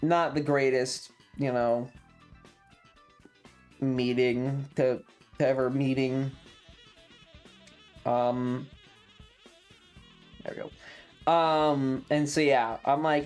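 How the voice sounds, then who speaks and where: A mid-pitched streamer of androgynous voice chats casually, swearing freely and retelling things with sardonic, acted-out dialogue. Video game music plays behind the voice. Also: a little mellow. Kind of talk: casual storytelling to stream chat.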